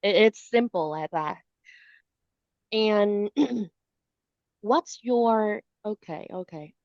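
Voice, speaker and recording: A woman's voice: mid-range voice, extremely slow, dry recording, dull audio. A woman co-hosting a casual discussion, speaking thoughtfully with frequent ums and restarts.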